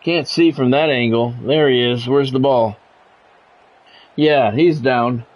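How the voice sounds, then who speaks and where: A man's voice: mid-range voice, slow. A middle-aged man doing sports video game play-by-play with blunt, sweary, exasperated reactions. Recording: dull lo-fi audio, faint background noise.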